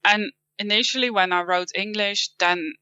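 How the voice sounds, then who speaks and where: Guest interview: woman, Dutch accent, bright and clear, dry close sound, slightly dull lo-fi audio. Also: medium pitch, moderate pace, no echo. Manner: thoughtful, with frequent restarts and light laughs. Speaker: guest, copywriting teacher. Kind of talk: casual interview chat.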